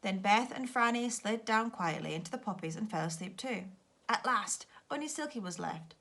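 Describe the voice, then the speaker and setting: Bright, very animated woman storyteller. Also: mid-range voice, normal speed, gravelly. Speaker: teacher reading aloud. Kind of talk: expressive children's story narration.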